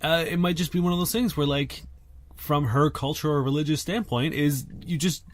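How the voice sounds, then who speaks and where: A man's voice: a little high, steady tone, moderate pace, no echo. Laid-back, drowsy podcast co-host man. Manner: laid-back, swearing, lots of fillers. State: high on cold medicine. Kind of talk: casual chat.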